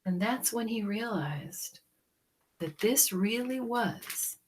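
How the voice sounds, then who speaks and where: Slow, animated woman reading a children's story. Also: on the high side, a little hiss or hum. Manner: dramatic, deliberate storytelling with hushed moments. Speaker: storybook reader. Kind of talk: expressive children's story read-aloud.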